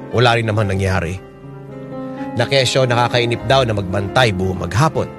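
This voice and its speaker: A weary working father, worn down and bitter, tells his story in a plain mid-pitched voice, as a man plays him in a radio drama. He sounds earnest and frustrated, and soft music plays underneath. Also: natural voice. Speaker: narrator and lead in a radio drama.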